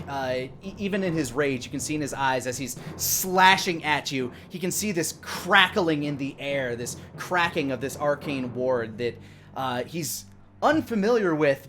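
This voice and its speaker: A man's voice: on the high side, fairly bright tone. Man running a tabletop game over music. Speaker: game master. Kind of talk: improvised tabletop game narration. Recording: music playing behind the voice.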